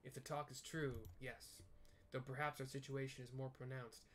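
A man's voice: mid-range voice, flat delivery, moderate pace, a bit bright, close to an everyday voice. Man acting townsman, fantasy game story, grave, apprehensive, formal old-fashioned wording, faint background noise. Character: apprehensive male city townsman, world-weary and grave.

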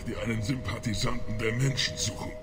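A man's voice: on the low side, fairly even intonation, fairly quick, background noise, natural voice. An actor playing a dying, bitter alien man in a video game, strained, spiteful and labored. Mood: spiteful, failing. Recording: dramatic game music behind.

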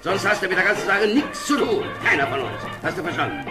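An actor playing a bossy, scheming small-time crook in a radio play, slangy and needling. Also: male voice, high-pitched, sing-song, fast, loud music behind the voice. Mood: threatening. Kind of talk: German radio play acting.

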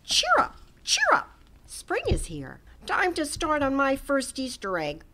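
Storybook role: a woman playing a hopeful boy bunny, squeaky high and lively. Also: a little slow, full character voice. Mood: cheerful. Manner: high, bright, excited. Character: eager young male bunny, cheerful and hopeful.

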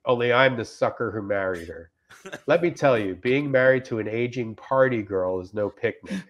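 A man in casual comic chat and reading reviews aloud, rambling and deadpan, with some swearing, on a video call. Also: mid-range voice, normal speed, muffled recording. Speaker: comedian guest. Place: indoors on a group video call.

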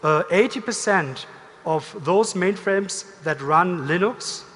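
A middle-aged man giving a corporate keynote presentation in a measured, deliberate way, pausing as he goes. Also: high voice, expressive, fairly bright tone. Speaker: company executive, keynote speaker. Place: large indoor conference stage. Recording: reverberant hall, stage amplification.

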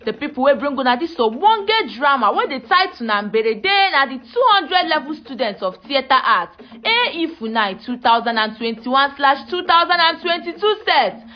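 A woman's voice: sits high, sing-song, moderate pace. A woman with a Nigerian accent presenting a radio drama to listeners in Nigerian Pidgin. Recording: muffled, dull audio.

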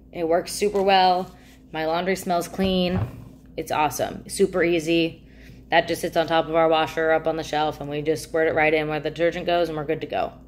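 A woman's voice: low voice, a little hiss or hum. A young woman chatting enthusiastically to camera about a product, talking with her hands in a small room. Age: young adult. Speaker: product reviewer. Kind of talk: casual talking-head product chat. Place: small indoor room by a plain wall.

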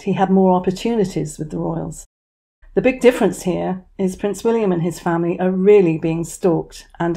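A woman's voice: on the low side, creaky, mellow. A woman giving opinion commentary as a voice-over. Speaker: commentary channel host.

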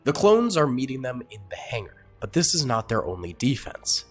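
A man's voice: mid-range voice, very animated, normal speed, slightly rough, soft background music. A young man, sick and with a partly lost voice, giving casual upbeat commentary over a strategy game as the channel host. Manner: upbeat, chatty, talks to viewers.

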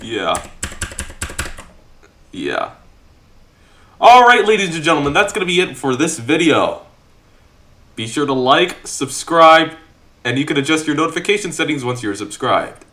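A man's voice: on the high side, very animated, a little slow, long pauses, pushed and bright. A young man giving casual, joking reaction commentary as a video host in a small home room. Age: young adult. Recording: echoey room sound.

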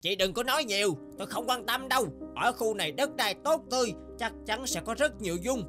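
A woman's voice: on the high side, lively intonation, brisk, over music, lightly acted. A woman voicing a selfish, bad-tempered young rooster in a children's cartoon fairy tale. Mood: annoyed. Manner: brusque, dismissive.

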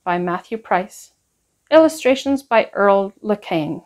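A woman reading a children's picture book aloud in an expressive storytelling style. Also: medium pitch, slow. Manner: expressive, acts out dialogue.

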